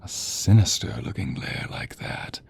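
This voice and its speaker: A man voicing a sadistic, theatrical sorcerer villain in an audio roleplay, silky and menacing, drawing out words and slipping into breathy whispers. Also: measured pace, darkish tone, slight background noise, close to an everyday voice. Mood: gloating, sinister. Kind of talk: audio roleplay acting addressed to the listener.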